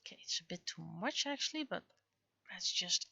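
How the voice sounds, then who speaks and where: A woman's voice: medium pitch, expressive, fairly quick, pushed and bright, husky, dull audio. A woman livestreamer chatting casually while she draws, often muttering to herself as she works. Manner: mutters to self while working. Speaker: livestreamer and webcomic artist.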